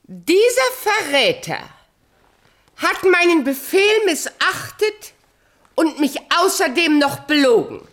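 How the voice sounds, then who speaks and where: Cruel queen, high voice, slow deliberate delivery, haughty, commanding, radio fairy tale. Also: sing-song, fairly bright tone, exaggerated voice. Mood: stern, scornful. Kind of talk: German radio play acting.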